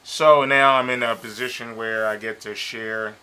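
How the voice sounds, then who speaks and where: A man in his fifties, born in Liberia, a chef talking casually and a little haltingly as a TV interview guest. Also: medium pitch, smooth, rough recording. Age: 50s. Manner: relaxed, hesitant with many ums, laughs easily. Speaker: chef and business owner, guest. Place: local TV studio.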